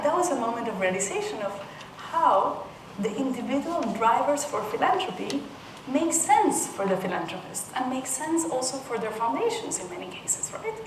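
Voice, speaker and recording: A woman from Peru speaks to a conference audience. She is thoughtful and often restarts her sentences. She is heard from a distance in a roomy hall, with clear background noise. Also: fairly high pitch. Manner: thoughtful, with frequent restarts and soft laughs. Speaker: panel speaker. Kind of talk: conference talk. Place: conference room.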